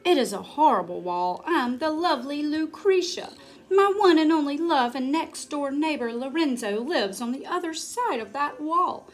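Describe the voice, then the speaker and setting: A woman's voice: high voice, expressive, normal speed, voice pushed up. A woman voicing a young princess, melodramatic and wailing over her lost love. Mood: complaining. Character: lovesick young princess, whiny and theatrical.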